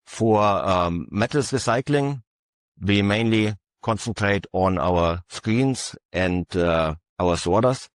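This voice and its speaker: A man presenting his company's equipment at an industry session, speaking haltingly with many filler uhs. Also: fairly low pitch, dry recording, studio quality. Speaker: conference panelist.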